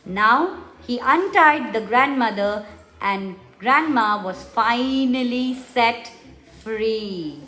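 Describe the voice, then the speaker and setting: A woman's voice: fairly high pitch, animated, takes it slowly, sharp tone. A woman narrating a children's story from a script for a puppet show. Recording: echoey room with faint music.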